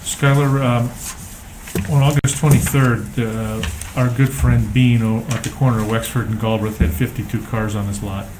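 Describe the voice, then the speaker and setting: A man's voice: medium pitch, fairly even intonation, moderate pace. A man chairing a public board meeting, asking questions and making blunt remarks. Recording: noisy meeting room mic.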